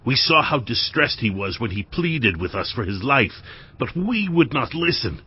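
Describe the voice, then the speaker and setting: A man's voice: mid-range voice, big pitch swings, normal speed, husky. An actor playing an anxious, deferential man who has come to buy grain, in a dramatized audio Bible. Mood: troubled, fearful. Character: anxious, pleading brother, humble male grain buyer. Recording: dull audio with music.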